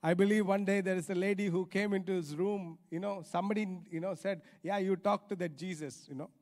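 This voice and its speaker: A man's voice: high voice, fairly even intonation, brisk, darkish tone. A middle-aged man with an Indian accent preaching a sermon emphatically, repeating phrases for stress. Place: large church auditorium stage. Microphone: handheld microphone. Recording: reverberant hall sound.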